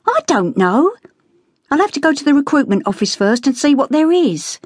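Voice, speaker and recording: A woman's voice: sing-song, normal speed, darkish tone, no echo, clean studio sound. A woman doing an insistent nineteen-year-old girl. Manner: insistent, raised pitch. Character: young woman, nineteen, determined and outspoken.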